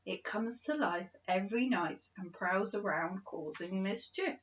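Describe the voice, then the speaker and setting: A woman voicing an absent-minded, excitable elderly male professor, gentle and playful, in a children's storybook reading. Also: mid-range voice, unhurried, highs cut off, lightly acted. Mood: enthusiastic.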